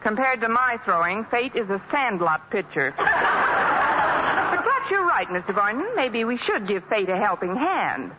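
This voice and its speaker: An actress playing a sharp-tongued schoolteacher with dry wisecracks, in 1940s radio sitcom acting. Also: female voice, mid-range voice, very animated, normal speed, close to an everyday voice. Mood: wry. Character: sharp-tongued woman schoolteacher, wry and lovestruck. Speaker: schoolteacher narrator and lead. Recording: tinny, hissy old radio broadcast.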